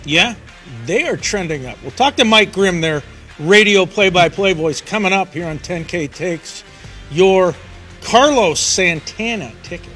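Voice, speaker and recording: Opinionated sports co-host. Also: male voice, sits high, lively intonation, a little slow, sharp tone, soft background music. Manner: opinionated, lays out arguments step by step. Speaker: sports talk show co-host. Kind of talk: casual sports chat.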